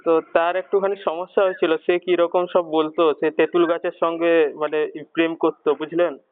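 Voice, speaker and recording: A man's voice: on the high side, flat delivery, normal speed, clear, resonant tone, no echo. A man making a prank phone call, hesitant, rambling and pleading as he poses as a worried parent. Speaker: prank caller.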